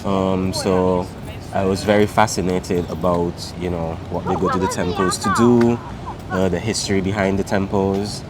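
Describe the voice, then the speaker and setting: A young man with a fairly low voice talks in a loose, unhurried way, full of fillers. He is recorded outdoors on a city street. Street and traffic noise is clearly heard behind him. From Saint Vincent and the Grenadines. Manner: relaxed, many fillers like "um" and "like". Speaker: interviewee. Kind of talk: casual street interview.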